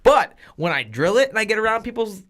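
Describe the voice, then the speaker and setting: Guy cohosting a chat show, bright slightly high voice, swears and jokes around. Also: very animated. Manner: swears freely, joking, quick restarts. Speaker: podcast co-host, retired fighter. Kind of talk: casual chat.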